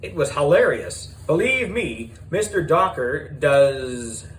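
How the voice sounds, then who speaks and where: Male children's audiobook reader: excitable, lively swings in pitch, slow measured pace. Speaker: audiobook narrator. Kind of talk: animated children's story narration.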